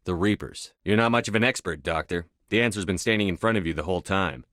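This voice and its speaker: A commanding military starship officer from a video game. His voice sits fairly low and is captured dry, with no room around it. He speaks in short, firm, controlled phrases with natural authority. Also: fast talker, a bit bright, no room sound. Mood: steady, determined. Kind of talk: video game dialogue acting.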